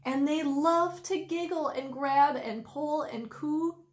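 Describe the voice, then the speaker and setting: A woman reading a children's story aloud expressively, with deliberate, drawn-out emphasis. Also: high voice. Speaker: storytime reader. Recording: roomy indoor echo.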